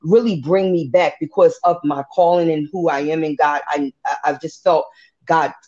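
Low-voiced woman in her forties, earnest. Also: fairly even intonation, normal speed, darkish tone. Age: 40s. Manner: candid and earnest, talking with religious phrasing. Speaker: guest sharing her testimony. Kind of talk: personal faith testimony in conversation. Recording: dull lo-fi podcast audio.

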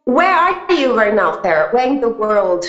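A woman's voice: fairly high pitch, big pitch swings, normal speed, fairly bright tone, soft background music. A woman hosting a podcast, asking curious, rambling follow-up questions in a casual chat. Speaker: podcast host, coach. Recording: dull, echoey remote audio.

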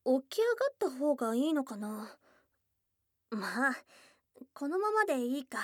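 A woman voicing a shy young goblin girlfriend in an intimate ASMR voice drama, blunt and tomboyish in wording but flustered and tender, with breathy murmurs close to the mic. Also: sits high, big pitch swings, unhurried, no room sound, full character voice. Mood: hesitant, curious. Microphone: close ASMR microphone.

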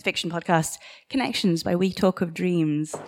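A woman with a lowish voice speaks on a live panel. She gives recommendations in an informal, appreciative way, as a fellow guest. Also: normal speed, noisy background. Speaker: panel guest, fiction podcaster. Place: live event room with audience.

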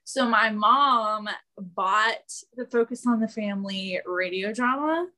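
A woman's voice: mid-range voice, takes it slowly, bright, forceful tone. A woman guest on a podcast, chatting casually in a candid way. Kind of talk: casual chat.

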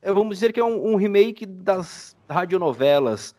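A man's voice: sits high, expressive, normal speed, dark tone. A Brazilian man chatting casually as a guest in a podcast interview. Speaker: podcast guest, audio drama creator.

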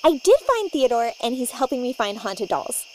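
Woman, comedy lead, high and lively, cheerfully unbothered. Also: moderate pace, slight background noise, poor audio. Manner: bubbly, oblivious, cheerfully unbothered. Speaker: cast member. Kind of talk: comedic audio sketch.

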